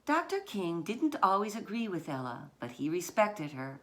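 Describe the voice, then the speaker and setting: A woman author reading her children's picture book aloud in deliberate short phrases, with dramatic emphasis on key words. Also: on the low side, sing-song.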